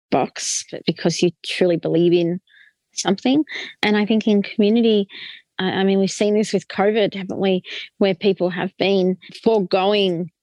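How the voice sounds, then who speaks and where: A woman's voice: mid-range voice, a little mellow, no echo. A woman, a guest author on a podcast, answering in an earnest, fluent way with casual fillers. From Australia.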